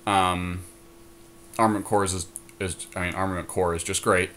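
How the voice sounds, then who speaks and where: Guy with a lowish voice chatting casually about game strategy, kinda thinking out loud. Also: flat delivery, normal speed. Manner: thinking aloud, deliberating. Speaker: podcast co-host. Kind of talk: casual strategy chat during a card game draft.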